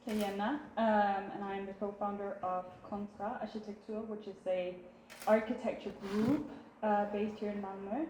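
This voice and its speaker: Mellow woman architect, echoey room. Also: mid-range voice, steady tone, normal speed. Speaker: architect, panelist. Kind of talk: live panel discussion. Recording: echoey room, faint noise.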